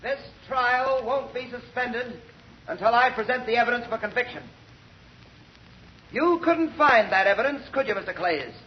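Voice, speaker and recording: A man's voice: very high voice, animated, normal speed, slight background noise. A man playing a resolute, righteous young prosecutor in an old radio drama, speaking emphatically and declaiming. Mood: triumphant, accusing. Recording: muffled vintage radio recording.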